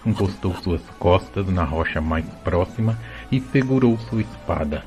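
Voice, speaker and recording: Male narrator, Brazilian accent, lowish pitch, scripted storybook reading, audio drama, faint music bed. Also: darkish tone.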